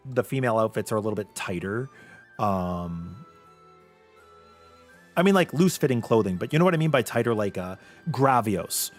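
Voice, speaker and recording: A man with a light voice, often taken for a girl's, chats with his viewers on a livestream. His pitch sits mid-range but swings widely as he talks, animated and quick to laugh. Faint game music plays behind him. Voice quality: light voice he says is mistaken for a girl's. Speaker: VTuber streamer. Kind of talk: casual livestream chat with viewers.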